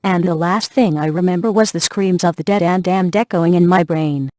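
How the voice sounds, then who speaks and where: An actress plays a prim, self-absorbed woman rattled by events in a video game. Her voice is a little low, chatty yet melodramatic, and recorded dry. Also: moderate pace, warm tone, dry recording, lightly acted. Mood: shaken, melodramatic.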